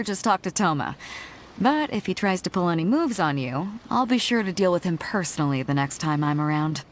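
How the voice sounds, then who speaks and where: A woman's voice: on the low side, natural voice. An actress playing a bold, confident female ship captain in a video game dub, with a commanding manner. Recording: game dub with faint music.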